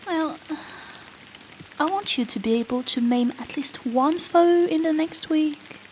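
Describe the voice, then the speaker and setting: A woman's voice: high-pitched, a little slow, rough recording. A woman playing a bratty, foul-mouthed female rogue in a fantasy audio roleplay, teasing the listener with cheeky, sarcastic banter. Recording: rain noise behind the voice.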